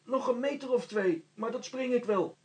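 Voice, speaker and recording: A man's voice: extremely high pitch, moderate pace, breathy edge, voice pushed up. A man voicing a plucky, down-to-earth young boy in a storybook, talking in a plain, eager way. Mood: calling out, cheerful. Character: plucky, sober, matter-of-fact young boy.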